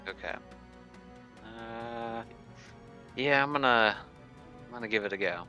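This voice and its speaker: A man's voice: medium pitch, a little slow, pushed and bright, dull audio. A man chatting casually as a player in a tabletop roleplaying game. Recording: music behind the voice. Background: music.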